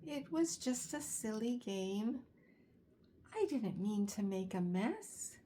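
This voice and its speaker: A woman's voice: fairly high pitch, lively intonation, takes it slowly, warm tone, slight background noise. A woman voicing an upset, remorseful little boy llama in a storybook read-aloud, pleading as he confesses. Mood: tearful confession.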